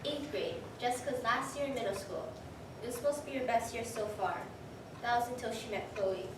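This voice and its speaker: A woman's voice: fairly high pitch, a little fast. A young woman narrating a scripted story for reader's theater, heard from far off in a very echoey, noisy room.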